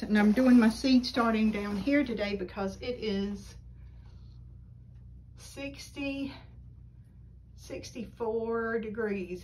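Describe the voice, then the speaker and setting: A middle-aged woman chatting casually about her seedlings in a small greenhouse, unhurried and rambling with many ums, filmed on a handheld phone. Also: mid-range voice, darkish tone, slight background noise, rough recording. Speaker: flower farm vlogger. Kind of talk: casual gardening vlog. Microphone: phone camera held while filming.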